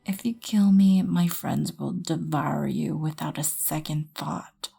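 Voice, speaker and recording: A woman performs a regal vampire queen for an ASMR roleplay, low and breathy with a creaky edge. She speaks softly and with measured poise, haughty yet wryly merciful, over background music. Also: full character voice. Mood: coolly threatening. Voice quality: breathy, often near whisper.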